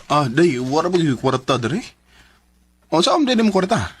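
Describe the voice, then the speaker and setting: An actor with a Cebuano Filipino accent playing a meek, soft-hearted farmer who pleads and apologises in a radio drama. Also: male voice, on the high side, sing-song, normal speed, a little hiss or hum. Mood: apologetic. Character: meek, pleading farmer husband, devoted father.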